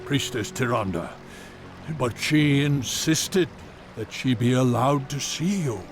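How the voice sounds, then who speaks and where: A man's voice: mid-range voice, lively intonation, takes it slowly, noisy background. A man playing a deferential, ponderous attendant announcing a visitor in a video game. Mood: apologetic. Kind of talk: dramatic video game cutscene acting.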